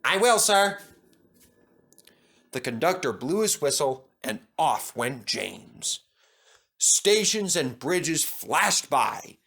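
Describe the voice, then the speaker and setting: A man narrates a children's story with dramatic expression, taking his time over each phrase. His voice is bright and moves around a lot in pitch. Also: sing-song, slow pace, creaky, slightly rough. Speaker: storybook reader.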